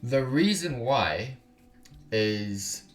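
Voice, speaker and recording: A man's voice: medium pitch, lively intonation, extremely slow. A man hosting a casual gaming live stream, chatting informally to viewers with slang and swearing. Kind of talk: casual live-stream chat with game text read aloud. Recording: roomy room echo, music behind. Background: music.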